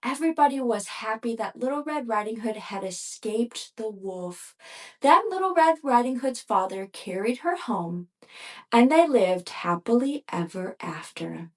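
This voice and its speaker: A woman reading a fairy tale aloud for children as the host of a storytime. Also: fairly high pitch. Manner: slow, lively storybook reading. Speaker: storyteller and ventriloquist.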